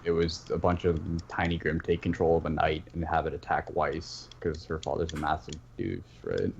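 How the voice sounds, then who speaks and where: A man with a very low voice chats casually as a podcast panelist. He speaks haltingly, circling back over his phrases. The sound is slightly muffled, with background noise and some typing. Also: vocal fry. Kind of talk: casual fan discussion of an animated series.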